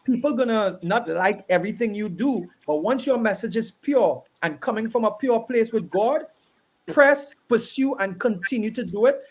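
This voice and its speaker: A Trinidadian gospel artist speaks in a casual, earnest radio chat. His voice sits a touch higher than most men's, and his manner turns encouraging and preacherly. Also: expressive, moderate pace. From Trinidad and Tobago. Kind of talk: casual radio tribute talk.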